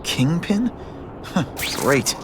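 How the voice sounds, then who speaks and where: An actor playing a young, principled superhero who talks with wry sarcasm in a video game. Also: male voice, on the high side, very animated, very slow, heavy background noise, exaggerated voice. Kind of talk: video game cutscene acting.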